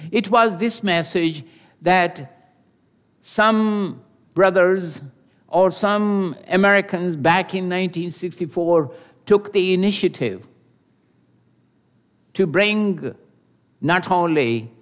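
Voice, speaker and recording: Older guy preaching, slow and careful, kinda high voice, lots of uh pauses. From Pakistan. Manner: earnest and measured, with pauses and hesitations. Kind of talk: sermon with personal testimony.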